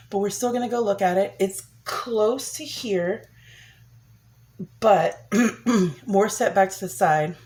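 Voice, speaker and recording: A woman's voice: mid-range voice, a little mellow. A young woman vlogger giving a chatty personal update, a bit congested from a cold, with many ums and restarts.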